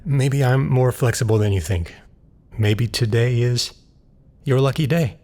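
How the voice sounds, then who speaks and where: An actor playing a grieving, devoted and stubborn man of science in an audio drama, husky and halting. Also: medium pitch, a little mellow.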